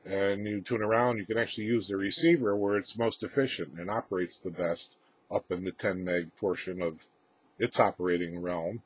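A man giving a casual, unscripted equipment demonstration as presenter, explaining in a halting, matter-of-fact way, recorded indoors on a camera microphone. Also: low-pitched, steady tone. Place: indoors, small room with radio gear. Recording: dull lo-fi camera audio, clear background noise.

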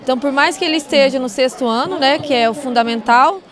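A woman's voice: high voice, quick pace, crowd noise. A woman in her forties, a teacher, explaining confidently in an interview on a handheld mic. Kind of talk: on-camera interview. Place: crowded indoor school hall. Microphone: handheld reporter mic.

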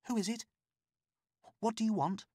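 A man reading a storybook, voicing a frightened captive woman who pleads in a high, anxious voice. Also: moderate pace, mellow, dry recording, studio quality. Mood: anxious pleading.

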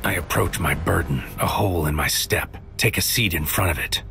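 A man's voice: deep voice, moderate pace, gravelly. An actor playing a tormented, brooding man with godlike power, giving a solemn, intense poetic monologue in a sci-fi audio drama. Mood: haunted, brooding. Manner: solemn, intense, incantatory, often dropping to whispers. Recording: loud music under the voice. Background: loud music bed.

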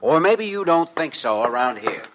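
An actor playing an earnest, self-assured young doctor in a 1950s radio drama, coolly indignant and pointed. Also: male voice, on the high side, sing-song, normal speed, background noise.

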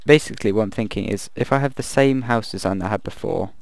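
A man casually commenting while he builds in a video game, thinking aloud and rambling, his voice croaky from the late hour. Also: lowish voice, fast talker, a little hiss or hum. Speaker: gaming video creator.

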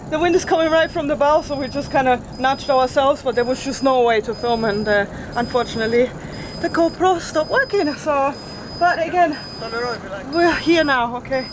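A woman's voice: high-pitched, background noise. A woman in her thirties hosting a sailing vlog, talking casually to a camera held at arm's length and laughing often. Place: outdoor market and sailboat.

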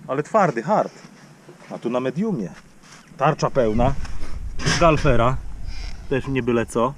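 A man chatting enthusiastically to viewers while riding a dirt bike outdoors, recorded on a helmet camera. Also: mid-range voice. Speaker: enduro vlogger. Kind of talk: casual ride commentary. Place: outdoors on dirt tracks and fields, riding a motorbike. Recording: loud engine and wind noise.

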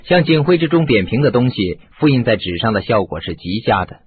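A man's voice: mid-range voice, very animated, brisk, husky, narrow, tinny audio. A man acting in a radio drama as a shrewd, confident sleuth, explaining things in an assured way. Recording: band-limited audio with music.

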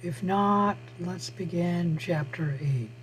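Hoarse, high-voiced male lecturer. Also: slight background noise, low-quality recording. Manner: slow, halting, with frequent "uh" pauses. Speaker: college biology instructor. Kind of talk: online lecture over screen share. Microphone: computer mic on a video call.

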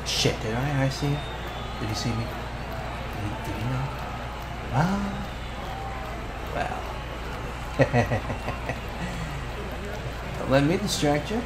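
Guy acting as a bossy tsundere high school bully in an asmr roleplay, mutters a lot, mid voice, noisy ambience behind. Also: animated, very slow, long pauses, a loud crowd. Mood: flustered, amazed. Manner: brusque, bossy, sighing and muttering. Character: tsundere teenage school bully with a secret soft side. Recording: clear background ambience noise.

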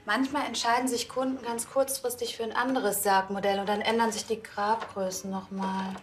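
An actress playing a businesslike funeral home clerk, polite and matter-of-fact, in a TV crime comedy. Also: female voice, on the high side, flat delivery, moderate pace, soft background music, close to an everyday voice.

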